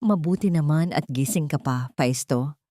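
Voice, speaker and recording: Woman doing a smug scheming business guy, condescending audiobook villain, low and dry. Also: normal speed, warm tone, no room sound, lightly acted. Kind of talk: audiobook drama dialogue.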